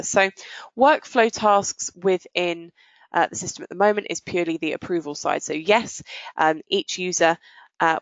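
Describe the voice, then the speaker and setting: A woman presenting a software demo and answering questions as a webinar host, explaining things in business-like phrasing. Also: lowish voice, gravelly, no echo, highs cut off.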